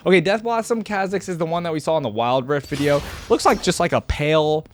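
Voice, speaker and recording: A man's voice: on the high side, fast, faint music behind. A man giving casual, off-the-cuff reaction commentary as a video host, thinking aloud in an informal, exclamatory way.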